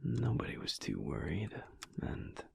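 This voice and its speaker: Male actor as alpha werewolf husband, low-pitched, close dry mic, laid-back, teasing, gravelly murmur, intimate audio roleplay. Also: wildly swooping pitch, no room sound, exaggerated voice. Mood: relaxed, reflective. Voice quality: low, husky, gravelly whispery voice. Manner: slow, drawling, dry teasing humour. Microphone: close microphone.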